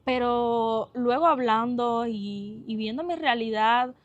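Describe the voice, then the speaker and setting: A young Cuban woman with a softened Cuban Spanish accent, talking earnestly and casually to her viewers, with fillers and restarts. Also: on the high side, slow, no echo, highs cut off. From Cuba. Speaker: vlogger.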